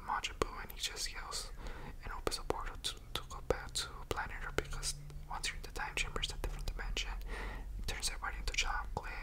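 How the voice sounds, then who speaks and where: A young man speaks entirely in a whisper, right up on a desk microphone in a bedroom. He rambles casually and uses plenty of slang, in the manner of a laid-back ASMR review. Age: young adult. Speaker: ASMR video creator. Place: bedroom at home. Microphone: large USB desk microphone, very close.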